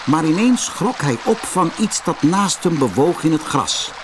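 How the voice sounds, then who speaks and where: Animated male fairy-tale narrator. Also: on the high side, a little slow, background noise. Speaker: audiobook narrator.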